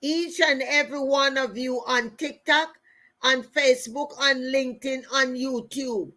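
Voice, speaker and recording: A woman's voice: high voice, a bit bright. A middle-aged woman with a Jamaican accent giving a motivational talk through personal stories, emphatic, repeating phrases and speaking straight to her listeners. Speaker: motivational speaker and show host.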